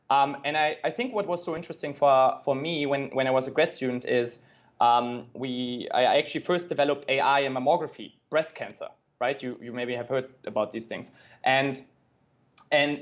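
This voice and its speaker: A man's voice: medium pitch, muffled recording. German-accented man, earnest guest. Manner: earnest, frequent "right?" tags. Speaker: guest, tech company founder. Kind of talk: interview conversation.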